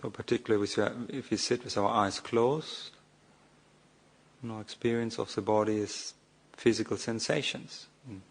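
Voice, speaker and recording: A man giving a guided meditation as a monk, speaking off the cuff in short, measured phrases with long, hesitant pauses. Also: fairly low pitch, fairly even intonation, breathy edge.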